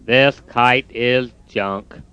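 A man's voice: medium pitch, steady tone, drawn-out words, clear, resonant tone, dry recording, muffled recording, pitched below the performer's own voice. A man voicing a gloomy, easily discouraged toad in a storybook, with a mopey manner. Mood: glum. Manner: mopey, sighing.

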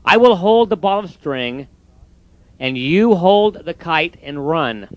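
A man's voice: a little high, lively intonation, slow pace, dull audio, slight background noise. A man doing an upbeat, coaxing frog. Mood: encouraging. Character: patient, encouraging male frog.

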